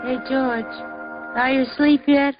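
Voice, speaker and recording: A woman's voice: sits high, fairly even intonation, measured pace, music in the background. A woman acting a scatterbrained, cheerful, chatty housewife in breezy, naive 1940s radio comedy style. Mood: dreamy, coaxing. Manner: breezy, naive, theatrical comic delivery. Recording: muffled, noisy old radio recording.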